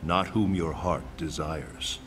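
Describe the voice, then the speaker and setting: A man's voice: very deep voice, fairly even intonation, slow, over music. A man playing a solemn, wise thunder god mentor in a video game, speaking with formal, grave diction. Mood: grave encouragement. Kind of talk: dramatic video game cutscene acting.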